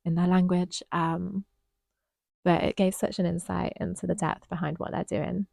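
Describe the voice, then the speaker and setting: A woman co-hosting a casual dance review, talking thoughtfully with frequent pauses and a slightly croaky voice. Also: fairly low pitch, flat delivery, dark tone, no room sound, studio quality. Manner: thoughtful, hesitant with frequent ums.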